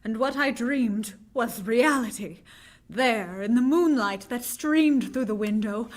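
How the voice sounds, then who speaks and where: A woman's voice: a little high, slow pace. A woman playing an earnest, frightened young woman in a vintage-style radio drama, speaking with dramatic, anguished deliberation. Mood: haunted, recounting.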